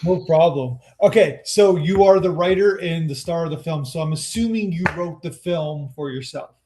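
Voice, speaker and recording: A man's voice: a little high, normal speed, noisy background. A man hosting a festival interview over a video call, asking long, rambling questions that he corrects as he goes. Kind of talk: casual interview chat. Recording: echoey room.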